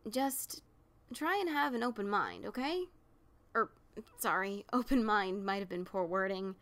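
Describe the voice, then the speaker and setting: A woman's voice: mid-range voice, fairly bright tone. A woman voicing a brash, boastful adventurer mare pony with a scratchy voice and a teasing manner. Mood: wistful. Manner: cocky, giggly teasing. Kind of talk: audiobook character reading.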